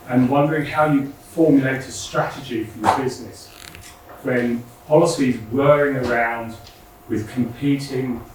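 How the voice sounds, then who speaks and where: A man in a conference audience asking a question, projecting his voice loudly. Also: medium pitch, a little hiss or hum. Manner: loud, projecting voice. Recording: echoey hall, far from mic.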